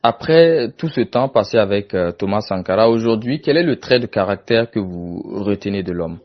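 A man's voice: lowish voice, animated, normal speed. A man conducting a radio interview, asking direct, formal questions. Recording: muffled lo-fi sound.

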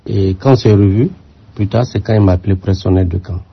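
An older man from Burkina Faso, a former military aide, answering interview questions in a measured, reflective way with frequent hesitations. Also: lowish voice, gravelly, husky. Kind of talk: radio interview. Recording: muffled lo-fi sound.

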